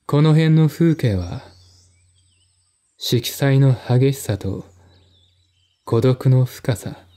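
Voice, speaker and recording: A male narrator reads literary prose slowly and formally. His voice is mellow and mid-pitched, with deliberate phrasing and a dramatic storytelling delivery. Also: expressive. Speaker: narrator, solo audiobook reader.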